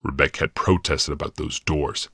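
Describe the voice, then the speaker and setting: A cold, scheming male villain, acted by a man, for an audiobook. He mutters placidly in an extremely deep voice with a crisp, forceful edge, recorded very dry. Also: steady tone, no room sound, heavily acted. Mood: dry, smug. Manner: muttering to himself, placid, measured. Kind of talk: audiobook character voice.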